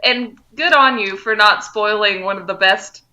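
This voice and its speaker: A woman's voice: mid-range voice, moderate pace, pushed and bright. A woman co-hosting a podcast in casual fan chat, with a gushing, effusive way of talking. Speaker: podcast co-host.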